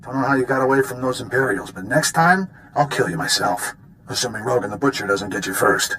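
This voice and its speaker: Noisy, smug rogue villain. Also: male voice, medium pitch, brisk, bright, forceful tone. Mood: taunting, threatening. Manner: taunting, smug. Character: cocky, vengeful middle-aged rogue villain and ship thief. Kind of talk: video game voice acting.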